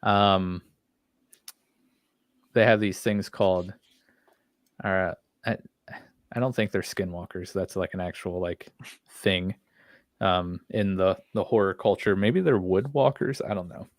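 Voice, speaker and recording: A man's voice: deep voice, a little slow, pauses often, dry recording. A man co-hosting a podcast, chatting casually and enthusiastically about horror series in an informal way. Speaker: podcast co-host.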